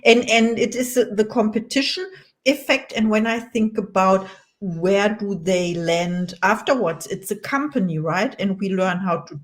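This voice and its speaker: Woman podcast host, mid pitch, slow pace, hesitant, laughs often. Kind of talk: interview hosting.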